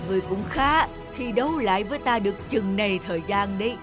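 An actor voicing a menacing dark spirit in a cartoon, taunting and arrogant. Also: mid-range voice, fast talker, over loud music, natural voice. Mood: menacing, mocking. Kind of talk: animated villain dubbing.

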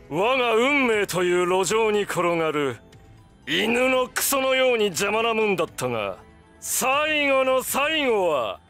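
High, forceful, theatrical vampire villain. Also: male voice, exaggerated voice. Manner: grandiose, drawn-out taunting with bursts of shouting. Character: arrogant, godlike vampire villain, gloating and theatrical. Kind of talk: Japanese video game voice acting.

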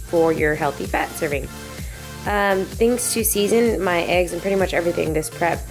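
A woman's voice: lowish voice, vocal fry. A young woman in her twenties giving chatty meal-prep tips in her home kitchen, speaking into a handheld camera. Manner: bubbly, teasing, explains in a chatty way. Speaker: fitness vlogger. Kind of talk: casual vlog chat and meal-prep tips.

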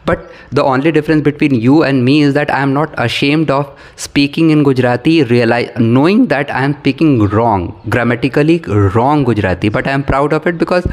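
A man's voice: medium pitch, brisk, few pauses. A man in his thirties with an Indian English accent, a Bhojpuri native speaker from Bihar, answering interview questions as an English professor, thoughtful, with many fillers and restarts. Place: small indoor room, seated on a sofa. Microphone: camera across the room.

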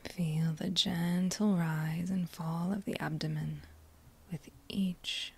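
Slow, whispering woman guiding meditation. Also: on the low side, fairly even intonation. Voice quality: breathy, whispery. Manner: gentle, soothing, unhurried with long pauses. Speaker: meditation guide. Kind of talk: guided meditation, whispered soft-spoken.

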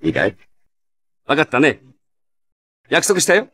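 An animated, encouraging game hero. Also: male voice, on the high side, a little slow, exaggerated voice. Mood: earnest, encouraging. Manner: clear, emphatic, addressing children directly. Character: friendly, encouraging heroic masked man, earnest big-brother type. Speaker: hero narrator of a card quiz mode. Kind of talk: scripted game dialogue addressed to a young player.

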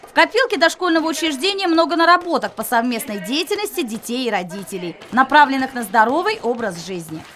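A woman's voice: high-pitched, big pitch swings, brisk, fairly bright tone. A woman reading scripted narration as a reporter for a news story.

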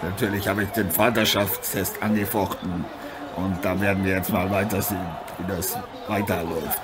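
A man playing a proud, cocky heavyweight boxing champion in an improvised radio-play interview, halting and self-important. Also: low voice, fairly even intonation, crowd noise, close to an everyday voice. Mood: evasive. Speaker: guest athlete being interviewed. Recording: noisy, with arena ambience behind.

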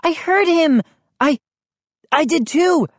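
Woman as high-pitched, urgently pleading child. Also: measured pace, dark tone, no room sound. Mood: excited hope. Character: frightened, earnest child narrator pleading urgently.

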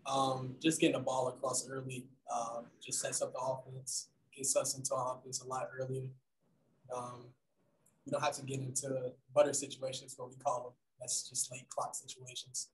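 Soft-spoken young man, flat roomy audio. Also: medium pitch, moderate pace. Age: young adult. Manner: soft-spoken, hesitant with many ums. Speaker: basketball player at press conference. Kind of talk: post-game press conference answers. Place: room in front of team backdrop. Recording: roomy video call audio.

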